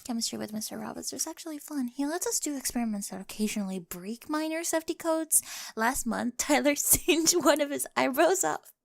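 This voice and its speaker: A young woman playing a quirky, awkward, talkative high school girl with a crush in an ASMR roleplay, rambling eagerly and often slipping into breathy whispers. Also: a little fast, no echo, studio quality. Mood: excited, playful. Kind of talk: scripted ASMR roleplay, addressed to the listener. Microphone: close, dry studio-style microphone.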